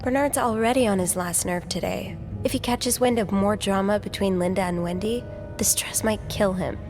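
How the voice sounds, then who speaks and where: A woman playing an empathetic, curious young hotel maid in a video game, speaking in an earnest, gentle, thoughtful way. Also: mid-range voice, moderate pace, music in the background, close to an everyday voice. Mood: earnest, kind. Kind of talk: naturalistic video game voice acting.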